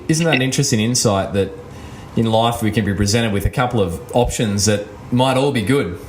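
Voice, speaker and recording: A man's voice: on the low side, a little hiss or hum. A man hosting a podcast interview, asking long, rambling questions full of fillers. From Australia. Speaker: podcast host.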